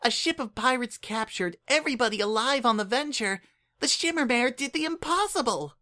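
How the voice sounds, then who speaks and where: Reader doing little changeling girl, high squeaky voice, super formal, talks about herself like "this one". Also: animated, a little fast, pushed and bright. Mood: excited. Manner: formal, speaks of herself in the third person. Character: small young female changeling child, earnest and eager.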